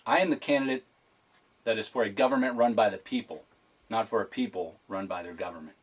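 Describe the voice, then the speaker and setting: A man's voice: on the low side, a little fast. A man giving a prepared political speech as a candidate, in a formal, emphatic, point-by-point manner. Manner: formal, emphatic enumerated delivery.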